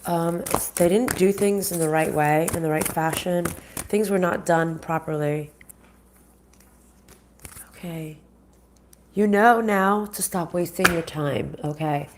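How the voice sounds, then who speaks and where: Woman, low-pitched, nasal and stuffed up from a cold, chatty live card reader, clear background noise. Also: slow, pauses often. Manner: chatty, stops and restarts, greets viewers by name. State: sick with a sinus infection. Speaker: spiritual reader and channel host. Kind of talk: live-streamed oracle card reading.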